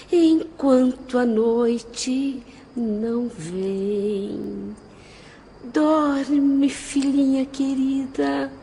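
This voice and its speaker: A woman playing the spirit of a tender, tearful mother in an audio drama, her voice soft and full of love. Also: high voice, a little hiss or hum, full character voice. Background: music.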